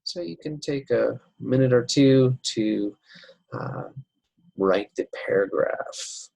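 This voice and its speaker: Gravelly-voiced male teacher, unhurried. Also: medium pitch, a little mellow, no room sound, rough recording. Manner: unhurried, explains step by step with many uh fillers. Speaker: English writing teacher. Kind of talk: recorded slideshow lesson.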